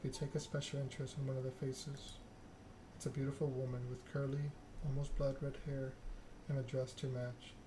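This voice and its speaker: A man reads a narrative game aloud as ASMR, hushed and measured. His pitch sits in the middle and moves very little. There is clear noise behind him. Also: level tone. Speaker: video game player reading the story aloud. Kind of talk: ASMR game read-along, narration with dialogue.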